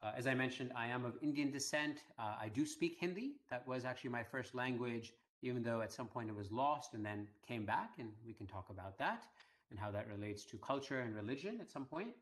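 A middle-aged man at an ordinary pitch, talking through his story as an interview guest. He speaks in a measured, unhurried way, with plenty of small fillers as he gathers his thoughts. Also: animated, a bit bright. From Iran. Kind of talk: conversational interview.

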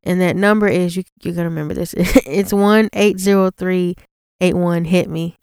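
A woman podcast host with a clear, smooth voice on the lower side. She speaks conversationally as she explains and advises, and the sound is close and dry. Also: a little mellow, no room sound. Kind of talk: casual podcast talk with advice.

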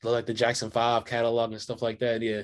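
A man's voice: medium pitch, completely flat delivery, fast. A young man, a guest musician, chatting casually on a video call, rambling with lots of fillers. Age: young adult. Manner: loose, rambling, filler-heavy talk. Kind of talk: casual interview chat.

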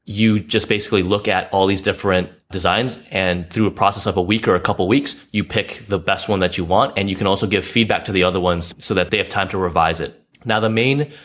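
A man in casual conversation, explaining things in a measured way with frequent fillers. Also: low voice, a bit bright. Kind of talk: casual chat.

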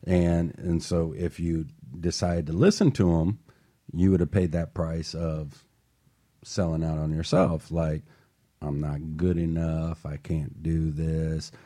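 A man's voice: a bass voice, flat delivery, slow pace, dark tone. A man hosting a motivational talk show and coaching, talking earnestly and building to passionate emphasis.

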